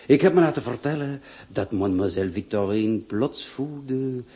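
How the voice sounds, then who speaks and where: An actor playing a wry, soothing gentleman with a husky voice and measured delivery in a radio play. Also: mid-range voice, lively intonation. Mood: amused, gossiping. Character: wry, soothing gentleman friend gossiping and advising. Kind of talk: Dutch-language radio play acting.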